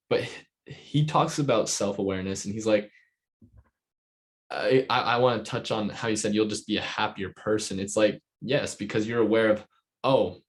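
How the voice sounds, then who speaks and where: A man with a fairly low voice hosts a casual interview. He speaks in an agreeing, halting way, working out his thoughts as he goes. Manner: agreeing, halting, thinking aloud. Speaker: podcast host.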